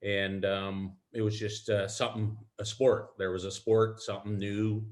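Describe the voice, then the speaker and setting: A middle-aged man with a fairly low voice, talking casually as a podcast guest. He rambles through his memories with plenty of ums and restarts, and pokes fun at himself. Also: level tone, moderate pace. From Alberta, Canada. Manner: rambling, self-deprecating, frequent ums and restarts. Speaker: guest, club player. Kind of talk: casual storytelling interview.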